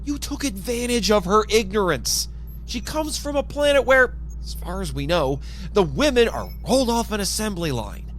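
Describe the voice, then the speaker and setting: A man playing an exasperated, scolding yet contrite team leader in a fan audio drama, speaking expressively and haltingly. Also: high-pitched, slight background noise. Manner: expressive, sighing, hesitant. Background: background music.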